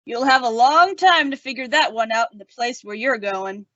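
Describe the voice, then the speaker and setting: High, bright-voiced young-sounding sheriff played by a woman. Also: animated, moderate pace, no room sound, muffled recording. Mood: resolute. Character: earnest, resolute male western sheriff. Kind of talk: old-time western radio drama acting.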